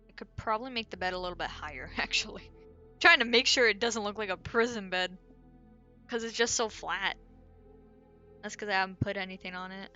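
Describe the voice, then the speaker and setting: A woman's voice: medium pitch, a little fast, long pauses, sharp tone, highs cut off. A woman streamer chatting casually and thinking aloud while she draws, rambling and muttering to herself. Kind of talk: casual chat while drawing. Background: faint background music.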